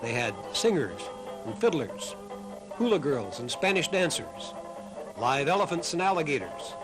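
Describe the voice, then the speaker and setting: Male doc narrator, slightly high voice, measured storytelling read, music underneath. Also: sing-song, rough recording. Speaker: documentary narrator. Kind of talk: scripted narration.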